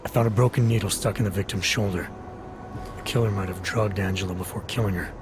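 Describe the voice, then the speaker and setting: An actor playing a terse, skeptical detective in a video game, probing in a clipped, dry, challenging manner. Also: male voice, lowish voice, fairly even intonation, fast talker, background noise, natural voice. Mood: businesslike. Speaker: Interpol investigator. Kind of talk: video game cutscene dialogue. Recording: background music under dialogue.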